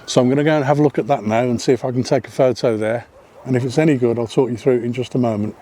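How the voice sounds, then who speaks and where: A man's voice: medium pitch, fairly even intonation, racing, mellow, slight background noise. A man narrating a casual photography vlog while walking on a hillside path, chatty, with frequent ums and self-corrections. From not Welsh. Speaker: photography vlogger. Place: outdoors on a hillside path above a seaside town.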